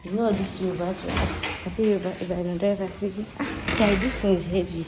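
A woman's voice: mid-range voice, steady tone, fast. Young Nigerian woman vlogging in echoey house. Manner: chatty, mixes in Yoruba phrases, talks to viewers while packing. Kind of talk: casual vlog chat. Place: large empty house with tiled floors, indoors. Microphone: handheld camera at arm's length. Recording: echoey empty room with clear noise.

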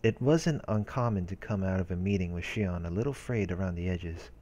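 A man reading visual novel narration aloud as a let's play host, in a measured manner with brief casual commentary. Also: low voice, darkish tone. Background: game music.

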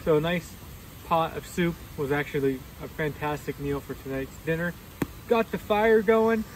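A man's voice: fairly high pitch, moderate pace, slight background noise, rough recording. Laid-back man vlogging outdoors at camp. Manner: laid-back, rambling with many "uh" and "like" fillers. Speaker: overland camping vlogger. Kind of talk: casual vlog chat. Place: outdoor forest campsite by a creek.

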